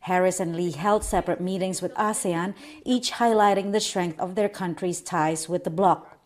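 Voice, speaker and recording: Female anchor, lowish pitch, steady scripted newsreading. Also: moderate pace. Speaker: news anchor. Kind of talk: news narration.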